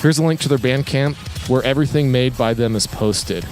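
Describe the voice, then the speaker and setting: Halting male podcast co-host, music behind. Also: mid-range voice, a little mellow. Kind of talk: casual podcast chat.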